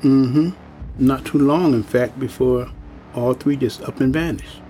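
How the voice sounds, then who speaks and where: A man's voice: mid-range voice, fairly even intonation, measured pace, mellow, faint music behind, lightly acted. An actor playing a world-weary old working-class man in an audio drama, speaking in a folksy, plain-spoken, ominous way. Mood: ominous warning.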